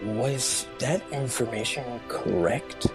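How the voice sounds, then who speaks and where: A man voicing a stern, matter-of-fact male hedgehog anti-hero in a fan fiction audio drama, with measured, clipped delivery. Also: mid-range voice. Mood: questioning. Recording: music behind the voice.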